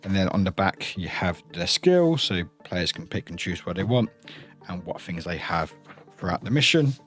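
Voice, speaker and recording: A man's voice: low-pitched, very animated, moderate pace, fairly bright tone. Man, enthusiastic, over background music. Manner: enthusiastic, rambling. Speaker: reviewer and unboxing host. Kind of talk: casual unboxing commentary.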